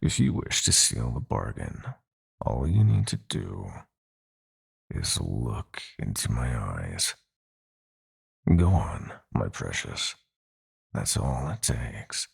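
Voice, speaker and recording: Audio roleplay villain: possessive, sadistic nightmare god. Heavy gravel, unhurried, theatrically swooping menace. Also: male voice, a bass voice, sing-song, exaggerated voice. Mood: gloating menace. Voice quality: gravelly, husky, breathy growl. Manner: slow, taunting, theatrical purring.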